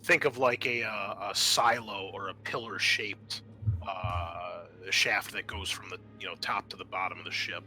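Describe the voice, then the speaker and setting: A man hosting a tabletop roleplaying game as game master, narrating off the cuff and pausing to think aloud. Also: deep voice, steady tone, sharp tone.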